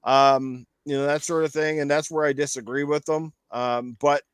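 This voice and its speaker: A man chatting casually as host of a panel show on games and movies, animated and opinionated, with some swearing. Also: fairly high pitch, normal speed, clean tone, dry recording.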